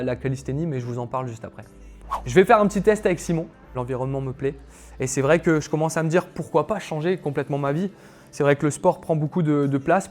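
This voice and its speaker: A man's voice: on the high side, darkish tone. A young man, a calisthenics coach, telling his personal story to camera in a casual, chatty way, with lots of fillers and asides to viewers. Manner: chatty, filler-heavy, addresses viewers directly.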